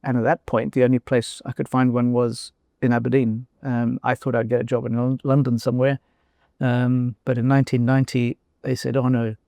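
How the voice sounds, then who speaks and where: An older man from South Africa with a South African accent, talking thoughtfully in an interview, pausing often with fillers and restarts. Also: medium pitch, flat delivery, creaky, dark tone, no echo, studio quality. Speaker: guest, former company CEO. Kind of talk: casual interview conversation.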